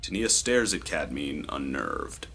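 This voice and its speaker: A man's voice: very deep voice, big pitch swings, a little slow, a bit bright, slightly rough, faint music behind. A man giving scripted narration of the action in an audio drama, as its narrator.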